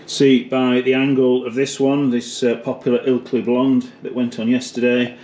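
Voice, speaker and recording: A man's voice: medium pitch, monotone, normal speed, mellow. A British man giving a casual, matter-of-fact running commentary as a pub landlord in a behind-the-scenes vlog. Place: inside a pub and its cellar.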